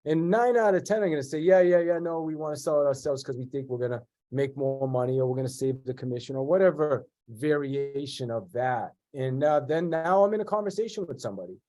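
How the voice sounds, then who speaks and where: A man's voice: medium pitch, a little fast, few pauses. A middle-aged man with a congested voice from a cold, speaking directly with lots of restarts as an interview guest. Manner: direct, energetic, with many restarts. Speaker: guest real estate agent and sales trainer. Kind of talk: conversational interview.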